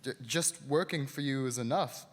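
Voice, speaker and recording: A man's voice: mid-range voice, normal speed, close to an everyday voice. A man acting in live radio theater as a meek, anxious clerk, stammering and deferential. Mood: frightened, pleading. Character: meek, anxious underpaid male clerk, humble family man. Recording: reverberant hall, live stage recording.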